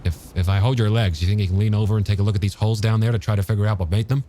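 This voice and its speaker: A man voicing a steady, grimly practical male police chief in an audiobook, blunt and low-key. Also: very fast, lightly acted. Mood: determined.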